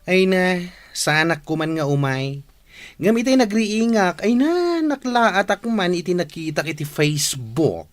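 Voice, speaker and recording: A man's voice: high voice, sing-song, measured pace. A man playing a gossipy, indignant friend who bears bad news in an Ilocano Filipino radio drama. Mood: gossipy, urgent.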